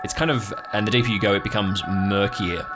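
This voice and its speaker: Deep-voiced man narrating over music. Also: a little fast. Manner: descriptive second-person scene setting. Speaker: game master. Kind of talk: improvised narration of an audio drama game. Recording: music playing behind the voice.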